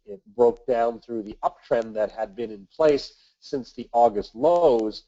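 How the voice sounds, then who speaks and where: A man with a nasal voice from a cold, hosting a weekly investment webinar and reading market commentary in a measured way with the odd filler. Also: on the low side, dark tone, dull audio. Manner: measured, reads from notes with filler uhs. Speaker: webinar host, investment manager.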